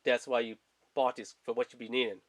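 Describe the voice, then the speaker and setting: A man's voice: medium pitch, level tone, brisk. A man giving a casual, rambling product review in informal, colloquial language, speaking off screen indoors. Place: indoors at a tabletop.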